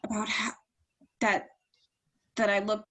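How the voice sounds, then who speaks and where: A woman's voice: mid-range voice, flat delivery, a little slow, fairly bright tone, a little hiss or hum, natural voice. A woman playing a wary, curt young woman confronting an abusive ex in a play reading. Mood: uneasy. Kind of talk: staged play reading over video call.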